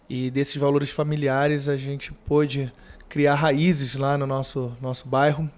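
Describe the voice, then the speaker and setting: A man in his thirties with a Rio de Janeiro accent, a fire brigade commander answering interview questions in an earnest, measured way. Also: medium pitch, a little mellow. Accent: Brazilian Portuguese from Rio de Janeiro. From Rio de Janeiro, Brazil. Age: 30s. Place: university TV studio.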